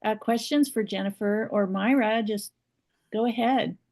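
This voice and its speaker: A woman's voice: mid-range voice, measured pace, a little mellow. A woman co-hosting a video call, light and friendly. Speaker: co-host. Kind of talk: casual video-call chat.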